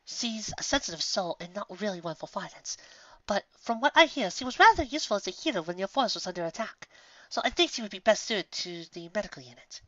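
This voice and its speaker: A man's voice: high voice, animated, brisk, a bit bright, dry recording, lo-fi sound, pitched above the performer's own voice. A man voicing a refined female pony commander, polite and diplomatic, with careful genteel phrasing. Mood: tactful.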